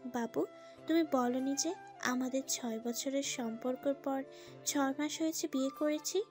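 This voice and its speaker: A woman voices a clingy young wife in a romantic audio story. She speaks in a high voice, possessive and protective, with faint music behind her. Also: measured pace, a little mellow. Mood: coy.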